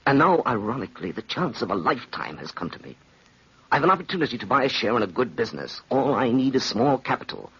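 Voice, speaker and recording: A man's voice: medium pitch, sing-song, quick pace, a bit bright, breathy edge, full character voice. An actor playing a cold, ambitious, calculating man who talks persuasively and theatrically in an old radio drama. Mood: falsely earnest. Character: cold, calculating, smooth-talking ambitious male schemer. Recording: dull, lo-fi old radio recording.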